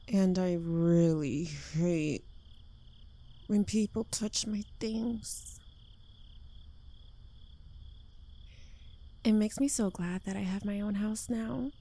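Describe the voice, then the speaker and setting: Woman acting a slow, smug yandere witch girlfriend. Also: medium pitch, fairly even intonation, mellow. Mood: mildly annoyed. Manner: teasing, smug, drawling, dropping into whispers. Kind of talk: intimate ASMR roleplay addressed to the listener.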